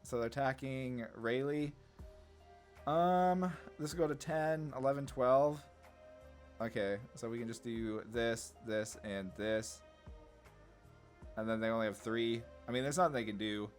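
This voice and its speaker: A man with a medium-pitched voice talks through a card game in a casual, laid-back way. He thinks aloud like a gaming content creator, with faint music playing behind him. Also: measured pace.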